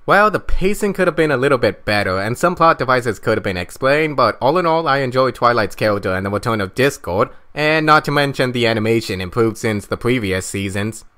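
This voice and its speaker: A man with a middling pitch gives review commentary as a co-host. His phrasing is measured and a little wordy, conversational yet clearly prepared. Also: animated, sharp tone.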